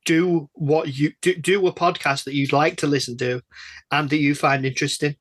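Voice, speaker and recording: A man's voice: a little high, steady tone, moderate pace, a bit bright, dry recording. A man hosting a casual interview, chatty and emphatic, often stammering over and repeating his phrases. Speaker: podcast interviewer.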